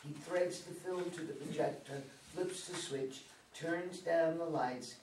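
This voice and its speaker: A man's voice: a little high, measured pace, darkish tone. Roomy, distant older male narrator. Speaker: narrator reading stage directions. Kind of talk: scripted narration. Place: meeting room with long table, indoors. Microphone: far camera. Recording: roomy, distant mic, background room noise.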